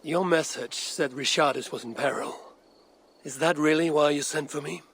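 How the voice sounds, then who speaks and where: A man's voice: a little high, normal speed, a bit bright, slightly rough. A man playing a stern, steadfast Viking warrior in a dramatic video game, blunt and restrained. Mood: guarded.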